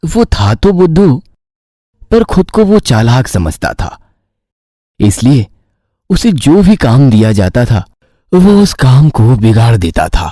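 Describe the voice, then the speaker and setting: A man's voice: mid-range voice, sing-song, slow pace, long pauses, warm tone, dry recording, clean studio sound. A man narrating a scripted children's story.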